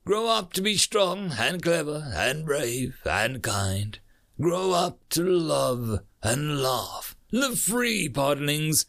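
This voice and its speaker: A man voicing a wounded, exhausted alien marine in a story, devoted and brave, speaking haltingly and earnestly through pain. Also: fairly high pitch, very animated, vocal fry, a bit bright, pitched above the performer's own voice, heavily acted. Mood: tender, farewell.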